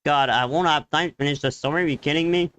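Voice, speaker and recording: A man's voice: fairly high pitch, quick pace, a bit bright, smooth. A man giving informal, off-the-cuff reaction commentary as he plays a video game. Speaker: gaming streamer. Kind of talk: casual reaction commentary over gameplay. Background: game music.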